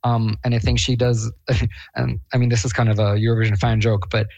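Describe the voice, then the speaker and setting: A man's voice: mid-range voice, flat delivery, brisk, gravelly, a little mellow, no echo. A man from Bosnia and Herzegovina, a guest on an interview, talking casually and enthusiastically with lots of fillers. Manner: enthusiastic, chatty, laughs often, many fillers. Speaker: guest, Eurovision fan.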